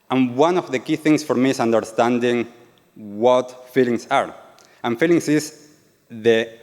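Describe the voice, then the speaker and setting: A man's voice: mid-range voice, a little mellow. Argentine man speaking in a reverberant hall. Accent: Argentine Spanish. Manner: earnest, asks the audience questions. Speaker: yoga and meditation teacher. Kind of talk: live talk to an audience. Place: event venue with an audience.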